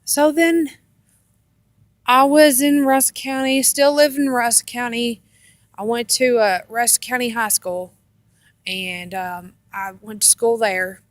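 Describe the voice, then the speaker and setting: A woman casually telling rambling personal stories in a halting, meandering way, often repeating herself. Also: fairly high pitch, fairly even intonation, no room sound. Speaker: storyteller on own video channel.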